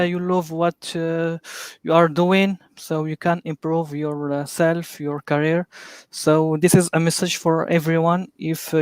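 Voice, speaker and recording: A man from Morocco whose first language is Arabic, a guest on a live online interview, speaking haltingly with many "uh" fillers over a video call. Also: on the high side, clean tone, no room sound.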